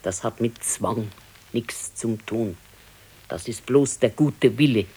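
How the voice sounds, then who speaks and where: A woman playing an aging, resigned, gently chiding wife in a radio play, with a southern German, Bavarian accent and a matter-of-fact manner. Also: extremely low pitch, slow, slightly rough, full character voice. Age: older. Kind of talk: naturalistic radio play acting.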